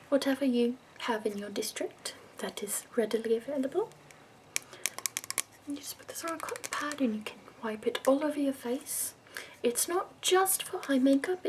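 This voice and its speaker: A woman in her twenties voicing a prim, fussy, upbeat woman makeup escort from the capital, in a soft whispered ASMR roleplay. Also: high voice, slight background noise.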